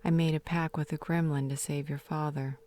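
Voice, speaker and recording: Woman acting as low-voiced, grieving fae mother. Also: flat delivery, warm tone, natural voice. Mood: regretful, confessing. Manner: sorrowful, halting, breathy half-whispers. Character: grieving fae mother leaving a last confession, regretful, tender. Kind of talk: dramatic audio roleplay monologue.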